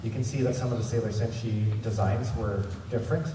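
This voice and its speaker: A man's voice: on the low side, fairly even intonation, a little fast. A man hosting a convention panel, giving an informal, rambling and enthusiastic fan presentation. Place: large convention centre room. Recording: echoey hall from far off, noisy. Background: room noise.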